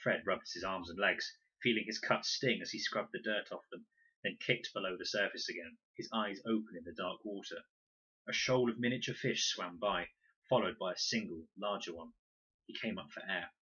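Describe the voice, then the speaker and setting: A man reading a children's adventure story aloud in an expressive, dramatic storytelling manner. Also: low voice, normal speed, husky, muffled recording. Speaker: audiobook reader and teacher reading aloud. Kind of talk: animated scripted narration of a children's adventure story.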